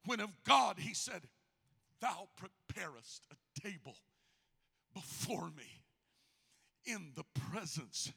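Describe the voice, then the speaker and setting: A man preaching a fervent sermon as a pastor, with a raspy, strained voice and an emphatic, repetitive, exhorting delivery. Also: high voice, big pitch swings, slow, pauses often, pushed and bright. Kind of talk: fervent Pentecostal-style sermon.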